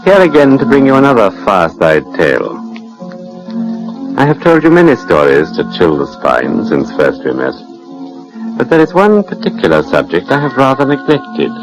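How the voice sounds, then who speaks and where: An actor playing a sinister, urbane British storyteller, ominous and formal, in 1940s radio horror narration. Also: male voice, mid-range voice, huge pitch swings, moderate pace, over music, poor audio. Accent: British English. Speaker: storyteller and host. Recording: old 1940s radio recording.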